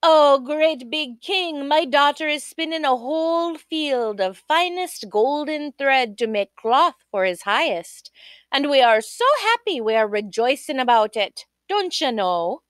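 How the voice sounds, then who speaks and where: A woman voicing a flustered peasant mother who fibs to a king, with shrill, fretful exclamations. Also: animated, measured pace, dry recording, clean studio sound. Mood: flattering.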